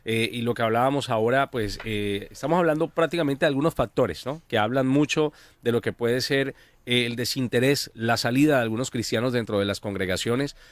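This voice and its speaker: A Colombian-born man co-hosting a discussion at an even, mid-range pitch. He speaks in a measured, hesitant way, full of fillers and tag questions. The recording is dry and close. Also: dry recording. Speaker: co-host. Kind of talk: conversational discussion.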